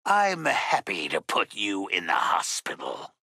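A man voicing a mocking, gleefully threatening masked slasher killer in a video game, with a harsh, raspy, gravelly voice. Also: low voice, huge pitch swings, a little slow, bright, forceful tone, no room sound, studio quality, heavily acted. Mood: mocking threat. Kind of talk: video game villain voice lines.

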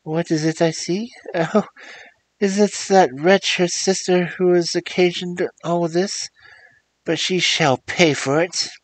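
A man voicing a greedy, scolding mother in a read-aloud fairy tale, pushing his voice high and loud. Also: measured pace, no room sound, natural voice. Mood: shocked.

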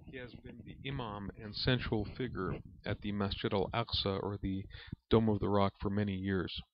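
Man, lowish voice, measured reverent book reading, spiritual lesson, muffled lo-fi sound, roomy echo. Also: steady tone, slight background noise. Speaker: reader and religious teacher. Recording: dull, lo-fi recording in an echoey room.

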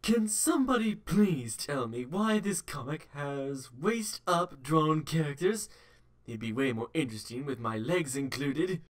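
A man plays a vain robot celebrity in a cartoon comic dub. He is indignant and theatrical, stretching words slowly with lively swoops. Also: on the high side. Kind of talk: fan comic dub acting.

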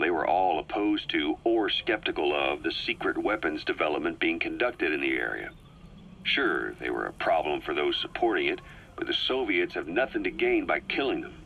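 An actor playing a shrewd, seasoned male military intelligence officer in a game, briefing in a measured, deliberate way with dramatic pauses. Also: sits low, sharp tone, muffled recording, a little hiss or hum, full character voice. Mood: grave, analytical. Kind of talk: scripted game audio briefing.

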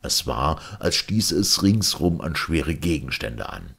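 A man's voice: very deep voice, very animated, slow, creaky, fairly bright tone, slightly rough. A man reading a vintage science fiction story aloud as scripted literary narration. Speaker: audiobook reader.

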